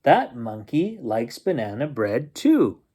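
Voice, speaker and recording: A man voicing an excited little girl in a children's storybook, eager and exclaiming. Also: mid-range voice, takes it slowly, slightly rough, heavily acted. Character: excited little girl calling to her father.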